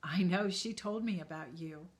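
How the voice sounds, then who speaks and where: A woman voicing a kind, loving bearded woodcarver, speaking softly and tenderly, often near a whisper. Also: lowish voice, lightly acted. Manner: soft, slow, tender, often hushed.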